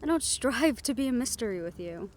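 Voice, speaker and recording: A woman's voice: on the high side, expressive, fairly quick, slight background noise, close to an everyday voice. A woman playing a young, wry and affectionate siren-banshee hybrid in an intimate roleplay audio drama, talking in a teasing, conversational way. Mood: tender, sincere. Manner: soft-hearted, teasing, conversational storytelling.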